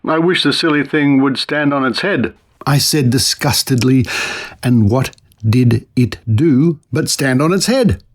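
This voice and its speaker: A man presenting a podcast, reading old newspaper articles aloud in an expressive, theatrical storytelling manner with brief commentary of his own. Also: mid-range voice, unhurried.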